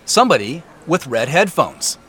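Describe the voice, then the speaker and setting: An actor playing an earnest, suspicious man in an audio drama, pressing for answers and quick to defend himself. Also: fairly high pitch, sing-song, a bit bright. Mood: defensive, earnest. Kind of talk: naturalistic audio drama dialogue.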